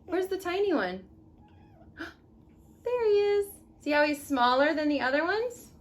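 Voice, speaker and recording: A woman teaching young children as a mother at home, reading a picture book expressively and pausing to ask simple questions. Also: high voice, slight background noise. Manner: expressive, instructive, asks leading questions.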